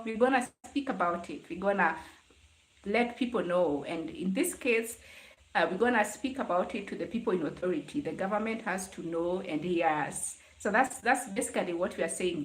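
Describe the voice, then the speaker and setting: A woman's voice: medium pitch, moderate pace. A young adult woman with a Kenyan accent hosts a casual live chat, talking conversationally to her viewers. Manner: conversational, greeting and answering commenters. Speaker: livestream host. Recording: roomy, echoing live stream sound.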